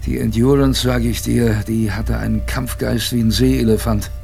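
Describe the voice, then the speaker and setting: A man's voice: mid-range voice, fairly even intonation, normal speed, vocal fry, darkish tone, faint music behind, lightly acted. An actor playing a former ship's boy who narrates his memories in a radio drama, conversational, vivid and self-mocking. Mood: wondering, ominous. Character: reminiscing former ship's boy, a wry male storyteller.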